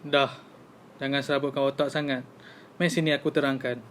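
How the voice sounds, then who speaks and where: A man's voice: mid-range voice, little pitch movement, brisk, a bit bright, a little hiss or hum, close to an everyday voice. A young man with a Malaysian accent playing a helpful housemate who explains things instructively in an amateur animation. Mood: patient, explaining.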